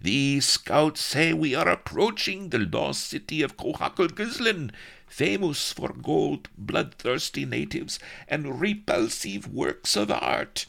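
Man putting on a greasy excitable aide, slangy, pitched way up, gravelly. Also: slow pace, exaggerated voice. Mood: excited.

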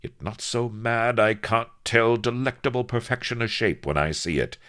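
A man voicing a cunning, mock-humble captain in a story, flattering in flowery archaic courtly speech. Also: rumbling low, unhurried, gravelly, heavily acted. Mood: fervent flattery.

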